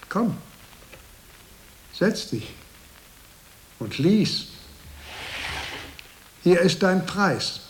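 An actor playing an old, cunning, commanding monk villain in a German radio drama, speaking solemnly and imperiously. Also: male voice, a little high, creaky, exaggerated voice. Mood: coldly inviting.

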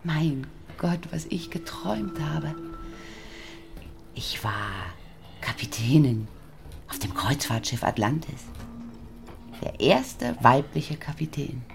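A woman's voice: low-pitched, sing-song, takes it slowly, gravelly, long pauses, over music, full character voice. An actress playing a boy's middle-aged waitress mother in a German radio drama, theatrical, swinging between nagging and wistful dreaming. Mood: wistful, brooding.

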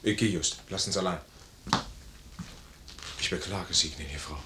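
An actor playing a proud, honourable discharged major, formal and commanding, in a 1950s German radio play. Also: male voice, sits low, a little slow, noisy background. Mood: grave courtesy.

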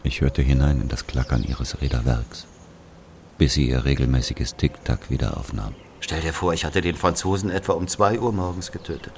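A man playing an aging Algerian who confesses an old killing in a brooding, deliberate literary monologue. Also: very deep voice, a little mellow, slight background noise. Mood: somber. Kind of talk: literary monologue, dramatic audio narration.

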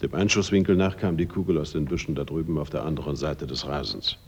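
A man's voice: extremely low pitch, fast talker, a little mellow, muffled recording, slight background noise. A man playing a stern, skeptical police inspector in a German radio drama, questioning in a terse, official way. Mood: stern, probing.

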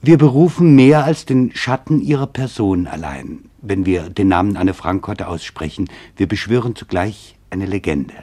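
A man with a fairly low, husky voice narrates a literary documentary. His delivery is solemn and deliberate, shaped in rhetorical phrases as he presents his own written account. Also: expressive, warm tone. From Germany. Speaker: author and narrator. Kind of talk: scripted literary documentary narration read to an audience.

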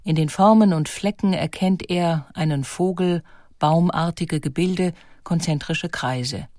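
A woman reading a documentary narration in a clear, composed way. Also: on the low side, measured pace, a little mellow, dry recording, studio quality. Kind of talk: scripted narration.

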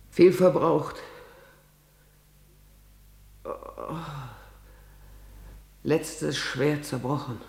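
Slow, creaky-voiced grieving maidservant messenger. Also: female voice, sits low, heavily acted. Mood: anguished. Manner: solemn, halting delivery swinging into anguished cries. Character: distraught maidservant bringing news of royal deaths. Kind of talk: tragic verse drama for radio.

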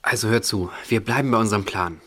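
A man's voice: lowish voice, fast, a bit bright. A male actor in a German audio drama playing a bold, hot-headed teenage boy who leads his friends.

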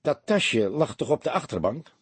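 A man plays a seasoned police detective in a crime story. He is dry and mocking, lecturing like a father. His voice is very raspy, with a lively melody. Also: medium pitch, moderate pace, no echo, voice pushed up. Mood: probing.

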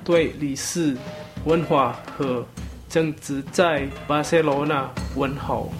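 Slow-speaking man announcing over music. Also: a little high, fairly even intonation. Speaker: radio station announcer. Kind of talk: scripted station jingle greeting.

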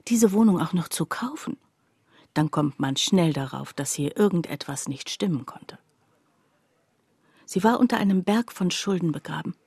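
A husky, confiding woman narrator. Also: lowish voice, mellow, dry recording, heavily acted. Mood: troubled, reflective. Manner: confiding, deliberate, addressing the listener directly. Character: reflective woman narrator recalling her destitute friend. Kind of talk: intimate radio drama monologue.

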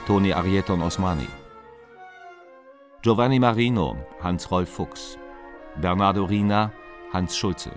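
A man with a very low voice delivers formal scripted credits. His reading is measured and list-like, with clear brass music behind him. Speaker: closing credits announcer. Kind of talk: formal scripted broadcast announcement.

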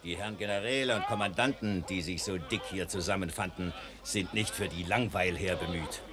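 An actor playing a knowing soldier who confides camp rumours in a sly tone. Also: male voice, low-pitched, fairly quick, crowd noise, close to an everyday voice. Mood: confiding. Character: knowing, gossiping male soldier. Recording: noisy camp ambience.

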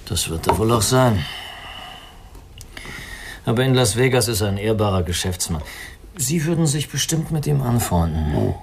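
A man's voice: on the low side, expressive, moderate pace, vocal fry, pauses often, noisy background. An actor playing a weary, self-pitying man who drinks, musing and cynical, in a radio crime drama. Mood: wry, guarded. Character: weary, self-pitying male drifter and drinker, arrogant, fatalistic.

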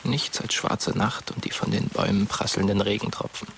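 An actor playing a sombre, watchful storyteller, narrating a dark radio play in a measured, hushed way. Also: male voice, on the low side, steady tone, vocal fry, a little hiss or hum. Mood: ominous.

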